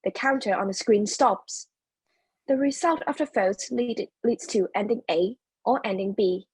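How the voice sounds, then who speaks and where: A woman's voice: medium pitch, unhurried, darkish tone, husky, no room sound, poor audio. A woman narrating scripted stage directions as the narrator of a play reading.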